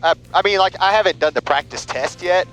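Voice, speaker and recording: A man's voice: sits high, a little fast, a bit bright, low-quality recording. A man chatting casually on a headset as a passenger in a small airplane. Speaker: passenger, student instrument pilot. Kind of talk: casual chat. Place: small airplane cabin in flight. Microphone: aviation headset. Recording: engine drone and music behind.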